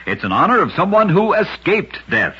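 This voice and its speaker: A man's voice: high voice, sing-song, normal speed, pushed and bright, breathy edge. A man with an American accent reads a scripted vintage radio narration as host, with a dramatic storyteller delivery. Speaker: radio host and narrator of short true oddities. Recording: dull lo-fi vintage radio recording.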